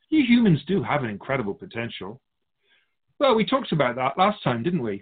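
A man playing a wise, patient otherworldly keeper of souls in a radio drama, amused, reassuring and philosophical in measured phrases. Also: medium pitch, breathy edge, phone-quality sound. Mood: amused, musing. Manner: measured, philosophical, musing, addressing humanity as an outsider.

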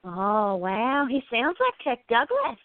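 A woman voicing a quiet, curious gallery worker in a radio play, chatty and gently prodding her friend. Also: fairly high pitch, wildly swooping pitch, takes it slowly, dry recording, full character voice. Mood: amused, gossipy. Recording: tinny, phone-like narrow sound.

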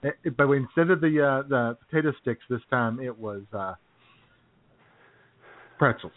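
A man's voice: mid-range voice, normal speed, dry recording. An American man co-hosting a talk show in casual, jokey banter, often chuckling as he goes. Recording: tinny, phone-like band-limited sound.

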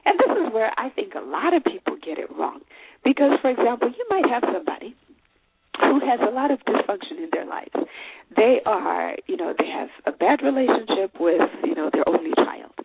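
A woman's voice: mid-range voice, big pitch swings, moderate pace, gravelly. Woman host, phone-like lo-fi sound. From Zimbabwe. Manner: earnest, conversational, with many fillers. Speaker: show host. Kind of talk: solo advice talk. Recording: phone-like line with faint noise.

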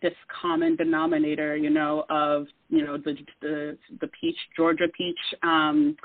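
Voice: medium pitch, big pitch swings, vocal fry, dry recording. Earnest guest speaking over a phone line. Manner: earnest, measured, with many fillers. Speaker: guest artist. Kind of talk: casual interview talk.

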